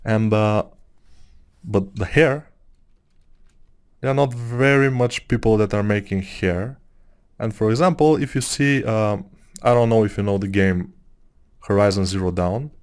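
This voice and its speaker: Low-voiced Bulgarian man musing while sculpting. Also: measured pace, smooth. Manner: rambling, musing, with many fillers. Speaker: 3D sculpting tutor. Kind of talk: casual tutorial talk over screen work.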